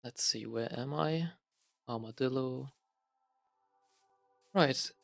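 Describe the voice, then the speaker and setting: A man's voice: medium pitch, slow, gravelly, a bit bright, no echo, faint music behind. A young man from Germany with a German accent, talking unscripted and rambling while he plays a game, with many fillers and restarts. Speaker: gaming vlogger. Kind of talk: casual unscripted talk while playing a game.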